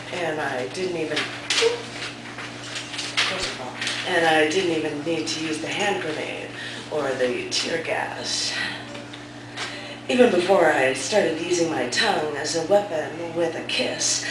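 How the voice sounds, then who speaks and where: A woman hosting a cafe open mic and reading a poem, her voice scratchy from a sore throat, chatty and self-deprecating. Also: lowish voice. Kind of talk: casual hosting, then poetry reading. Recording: echoey room from far off.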